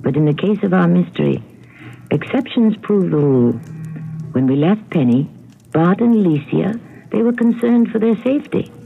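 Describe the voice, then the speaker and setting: Creaky-voiced male radio mystery host. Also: high-pitched, lively intonation, soft background music. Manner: measured, dramatic, suspenseful. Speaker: host and narrator. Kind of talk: 1970s radio mystery narration, scripted. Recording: tinny, narrow vintage radio broadcast.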